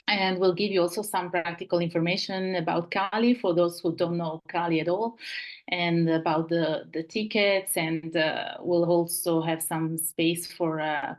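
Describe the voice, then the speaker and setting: Italian woman presenting on a video call, slightly low voice, halting delivery with frequent restarts. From Italy. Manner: halting, with many restarts and searching for words. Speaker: webinar host and project manager. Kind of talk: online webinar presentation.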